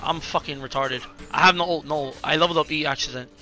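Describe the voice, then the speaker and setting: A man's voice: a little high, quick pace, sharp tone, soft background music. A man in his twenties giving casual live game commentary, firing off repeated short callouts with slang and swearing. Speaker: streamer. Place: indoors in a furnished room.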